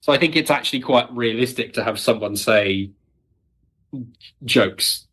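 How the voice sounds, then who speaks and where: A man's voice: mid-range voice, unhurried, bright, forceful tone. A British man chatting casually as an interview guest, self-deprecating and wry, talking as a writer.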